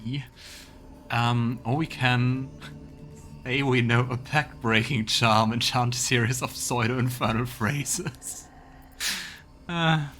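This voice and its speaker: A man's voice: mid-range voice, a bit bright. A man hosting a let's play, musing aloud in casual game commentary.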